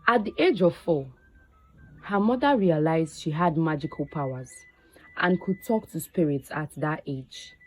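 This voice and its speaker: A woman narrating a scripted folktale in a measured, deliberate way, phrase by phrase. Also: low voice, animated, slightly rough, rough recording. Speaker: storyteller.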